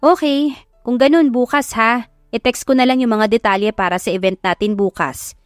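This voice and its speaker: A woman with a Filipino accent voicing a brisk, chatty female events boss in an audio drama. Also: a little high, fairly even intonation, clean tone, dry recording, clean studio sound, close to an everyday voice. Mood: businesslike. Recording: background music under the voice.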